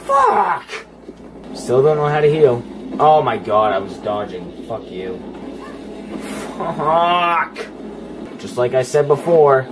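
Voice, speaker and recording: A man's voice: mid-range voice, expressive, moderate pace. A man giving casual, profane commentary as he plays a horror game, talking straight at the game. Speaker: gamer playing and commenting. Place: indoors, filming a TV screen while playing. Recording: noisy room capture with game sound.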